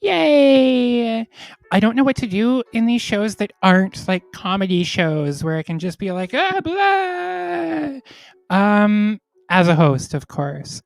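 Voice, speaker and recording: Slow, congested woman hosting a show. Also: medium pitch, no echo. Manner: bubbly, giggly. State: congested from a cold, tipsy. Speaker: host. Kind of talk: hosting an online comedy show.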